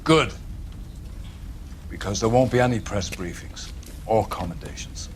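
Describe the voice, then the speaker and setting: A man's voice: lowish voice, animated, unhurried, slight background noise. A man playing a stern, world-weary police captain in a 1940s crime video game, dry and sardonic in his authority. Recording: music behind the voice.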